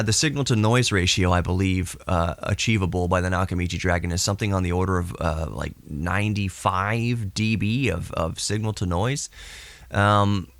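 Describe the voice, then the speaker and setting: A man's voice: low-pitched, vocal fry, fairly bright tone, no echo. A man hosting a podcast in a casual, rambling technical monologue with a hobbyist's enthusiasm, recorded to cassette tape. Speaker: podcast host.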